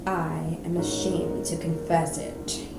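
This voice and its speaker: A woman's voice: deep voice, level tone, slow, gravelly, recorded from a distance, pitched below the performer's own voice, heavily acted. A woman reading a fantasy novel aloud as a proud, ashamed young man, in a subdued, stiff manner. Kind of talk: audiobook-style novel reading. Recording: roomy echo, music behind.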